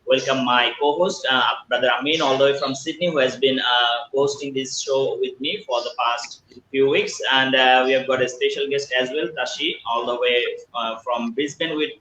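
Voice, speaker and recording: Bright-voiced man hosting a live stream. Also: mid-range voice, steady tone. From Bangladesh. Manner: halting, many filler sounds. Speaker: host. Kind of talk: live online interview. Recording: echoey room with faint ambient noise.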